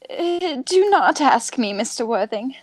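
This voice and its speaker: A prim spinster governess played by a woman with a high, very animated voice. She is proper, earnest and easily flustered, in radio play style, recorded close and dry. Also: measured pace, dry recording, exaggerated voice. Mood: distressed.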